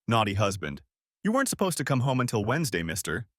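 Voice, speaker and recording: A man's voice: on the low side, wildly swooping pitch, quick pace, no echo, studio quality. A man voicing a distraught, overdosing young wife who pleads and sobs. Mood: giggling. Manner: sobbing, pleading, manic.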